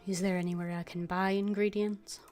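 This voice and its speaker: A woman's voice: medium pitch, level tone, fairly quick, a little mellow, soft background music. A woman game streamer giving casual commentary and voicing her dialogue choices aloud as she plays.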